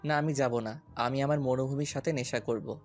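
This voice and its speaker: A man's voice: mid-range voice, steady tone, normal speed, a little mellow, close to an everyday voice. An actor playing a brash, possessive young man in a romantic audio story, taunting, stubborn and commanding. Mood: drunk, stubborn. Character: brash, possessive young man, often drunk, teasing and menacing. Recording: music bed behind the voice.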